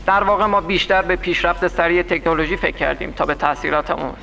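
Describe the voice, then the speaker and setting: A man's voice: fairly high pitch, gravelly, sharp tone, faint music behind, voice pushed up, poor audio. A man voicing a tense male hospital doctor who speaks in urgent, clipped sentences.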